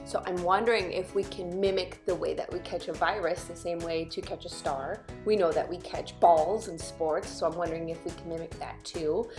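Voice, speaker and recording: A woman presenting to young children in the style of a lesson and storybook read-aloud, with a simple, guiding manner. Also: lowish voice. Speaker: children's STEM teacher and presenter.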